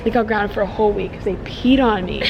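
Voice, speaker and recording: A young woman telling a childhood story casually, halting and amused. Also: mid-range voice, fairly even intonation, dull audio, background noise.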